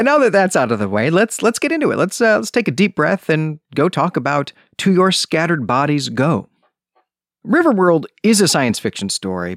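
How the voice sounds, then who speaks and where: A man's voice: medium pitch, no room sound, studio quality. A man hosting a book podcast, talking in a conversational, discursive way with frequent asides. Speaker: podcast host.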